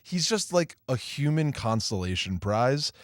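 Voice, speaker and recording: A man co-hosting a movie review podcast with wry, theatrical jokes. His voice sits at a middle pitch. The mic sound is close and dry, with no room sound. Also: very animated, a little slow, dry recording. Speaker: podcast co-host. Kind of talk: casual movie review chat.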